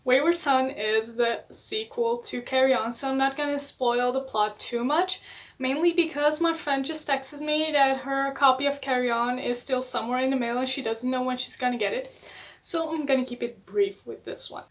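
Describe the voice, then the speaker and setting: Young adult woman, casual enthusiastic book vlogger, slightly higher voice. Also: normal speed. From Netherlands. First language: Dutch. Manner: chatty and enthusiastic, laughs often.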